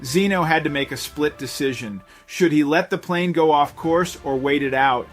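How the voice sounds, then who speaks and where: Male narrator, lighter register, for suspenseful scripted storytelling; measured delivery over a soft music bed. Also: a little high. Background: background music.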